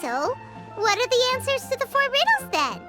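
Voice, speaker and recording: A woman voicing a small, childlike fairy companion in a video game, squeaky, curious and blunt. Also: wildly swooping pitch, normal speed, heavily acted. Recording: loud music behind the voice. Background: game music.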